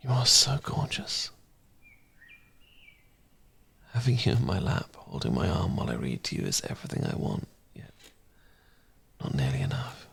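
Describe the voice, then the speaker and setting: A man playing a smitten, bashful housemate in an intimate romance audio roleplay, speaking gently and sometimes haltingly. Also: deep voice, big pitch swings, creaky, husky, exaggerated voice. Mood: hushed longing. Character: smitten, bashful male housemate, tender poetry reader.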